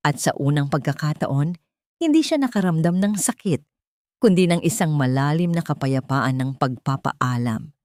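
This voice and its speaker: A woman with a low voice narrates a scripted romantic drama. The recording is dry and close, and she reads as a storyteller. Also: lively intonation, moderate pace, darkish tone, no echo.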